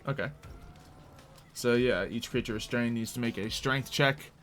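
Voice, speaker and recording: A man's voice: medium pitch, moderate pace, fairly bright tone. A man acting as game master, narrating a tabletop roleplay game in a casual, improvised conversational way.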